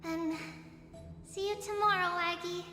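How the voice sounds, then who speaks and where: A woman voicing a gentle, wistful, ancient demigod in the body of a small girl, in an anime game. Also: very high voice, steady tone, measured pace, exaggerated voice. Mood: playful, caring. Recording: echoey hall reverb under music.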